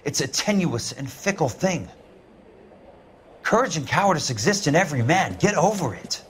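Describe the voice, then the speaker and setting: An actor playing a world-weary war-veteran investigator in a video game, with an American accent and a blunt, hard-boiled manner. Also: male voice, a little high, big pitch swings, normal speed, a little hiss or hum. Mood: stern, philosophical. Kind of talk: cinematic video game acting. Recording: loud music behind the voice.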